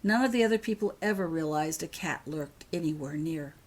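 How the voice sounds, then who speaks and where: Low-pitched woman narrating a story. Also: brisk, darkish tone. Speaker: author reading own story. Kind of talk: scripted audiobook narration.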